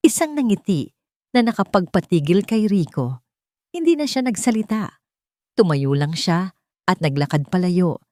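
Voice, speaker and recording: A woman narrates a dramatic scripted tale in a fairly low female voice. The sound is dry and close, with little room in it. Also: very animated, normal speed, pauses often, dark tone, no echo.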